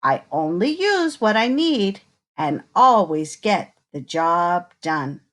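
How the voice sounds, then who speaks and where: A woman reads a picture book aloud to children at a slow, measured pace. Her pitch moves up and down in a lively way, and she turns to her young listeners with inviting questions in the manner of a teacher. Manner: expressive, unhurried, asks inviting questions.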